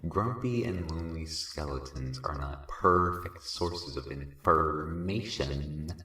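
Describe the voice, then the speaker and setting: An echoey, slightly distant recording of a concerned friend, acted by a man in an audio drama. The voice is low and unhurried, casual as it soothes and gently chides. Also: lively intonation, exaggerated voice. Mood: concerned, chiding. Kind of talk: fan fiction audio drama acting. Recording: echoey room, distant from mic.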